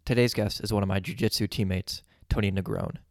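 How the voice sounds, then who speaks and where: A man hosting a podcast, reading a measured introduction and asking interview questions. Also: lowish voice, steady tone, vocal fry, no room sound, studio quality. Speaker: podcast host.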